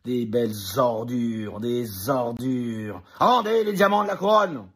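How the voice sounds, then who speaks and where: A man's voice: medium pitch, slow pace, a bit bright, husky. A man in a played clip making a pompous, indignant statement.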